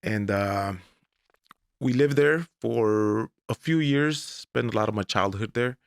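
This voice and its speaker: A man in his forties, originally from Mexico, telling his life story as a guest on an interview podcast in a deliberate, measured way. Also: fairly low pitch, creaky, no room sound, clean studio sound. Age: 40s. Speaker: guest, English professor.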